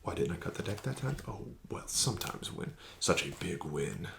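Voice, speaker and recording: A man doing an ASMR roleplay as a casino card dealer, talking slowly and softly with smug, teasing patter that slips into whispers. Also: low voice, big pitch swings, vocal fry. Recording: audible background noise.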